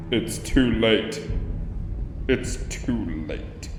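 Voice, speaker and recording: Man voicing a slow, echoing, menacing evil spirit. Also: mid-range voice. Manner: slow, ominous, gloating. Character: smug, menacing collective of confined evil spirits. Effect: heavy reverb. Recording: long echoing reverb, faint music behind.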